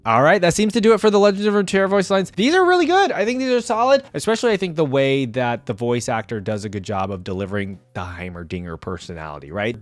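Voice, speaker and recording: A man giving casual reaction commentary as a host, talking in an animated, conversational way. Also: mid-range voice.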